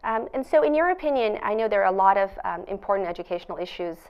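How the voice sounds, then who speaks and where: A woman's voice: mid-range voice, lively intonation, fast talker, clean tone. Woman interview host with a dull, muffled sound. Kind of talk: formal scripted introduction, then conversational interviewing. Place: studio.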